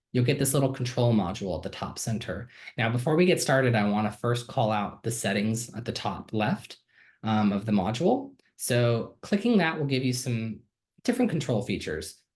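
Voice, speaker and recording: A man talks casually into his computer mic while sharing his screen. He sounds friendly and upbeat and keeps filling pauses with ums and uhs. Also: mid-range voice. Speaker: student giving a software demo. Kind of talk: casual screen-share walkthrough.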